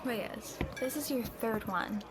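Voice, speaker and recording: Woman acting high-voiced, teasing tavern server. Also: slow, very noisy. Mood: teasing concern. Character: gossip-savvy female tavern server, casual, teasing, concerned. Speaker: guest voice actor in an audio roleplay. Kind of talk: ASMR roleplay acting. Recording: busy crowd chatter behind.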